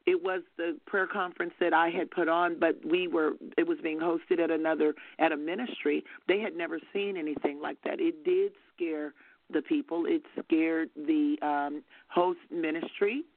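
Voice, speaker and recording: An older woman minister, soft-spoken but fervent, telling a story as testimony on a call-in broadcast. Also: low voice, steady tone, normal speed, no room sound. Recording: phone-line audio.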